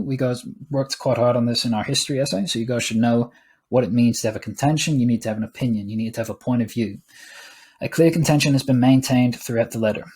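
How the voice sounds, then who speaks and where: A man's voice: mid-range voice, a little mellow, husky. A man, a teacher casually explaining an assessment rubric over a screen recording, with small laughs and asides. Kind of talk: screen-recorded lesson walkthrough.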